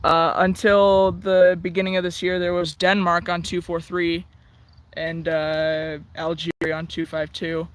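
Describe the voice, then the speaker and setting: An American man giving a casual hobbyist demonstration, thinking aloud in a halting way, outdoors. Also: on the high side, level tone, smooth. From United States. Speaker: radio hobbyist presenting. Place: outdoors in the sun.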